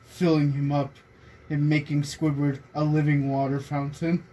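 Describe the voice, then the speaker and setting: A man's voice: on the high side, flat delivery, moderate pace, warm tone. A young man reading fan fiction aloud to friends, prone to breaking into laughter, recorded in a small bedroom. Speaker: reader among friends. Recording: noisy casual room recording.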